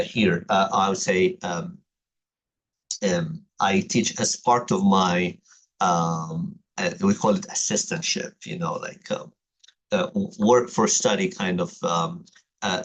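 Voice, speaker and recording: A man in his thirties from Egypt with an Egyptian Arabic accent, a native Arabic speaker, gives a recorded online class introduction as a university writing instructor, talking carefully with many pauses and fillers. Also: sits low, steady tone, low-quality recording. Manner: hesitant, many fillers, careful explanatory delivery. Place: home, late at night.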